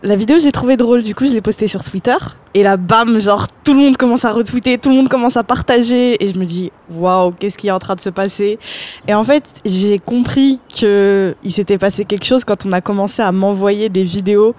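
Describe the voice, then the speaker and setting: A woman's voice: mid-range voice, brisk. A woman in her twenties from the Paris area, telling stories as a podcast guest with lots of fillers and slang. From France, born in Paris, raised in Seine-Saint-Denis. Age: 20s. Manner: lively storytelling with fillers and slang. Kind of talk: casual interview chat.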